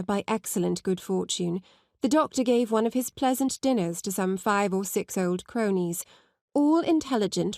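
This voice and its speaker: A woman narrates a classic novel in a mid-range voice, recorded dry and close. Her scripted delivery is measured and articulate. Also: medium pitch, a little mellow, dry recording. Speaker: audiobook narrator.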